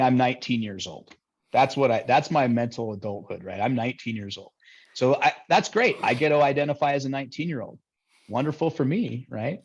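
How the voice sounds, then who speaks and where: A man's voice: medium pitch, slightly rough. A middle-aged man, a lawyer guest on a podcast, talking in a candid, joking, chatty way. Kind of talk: casual interview talk. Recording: dull lo-fi sound.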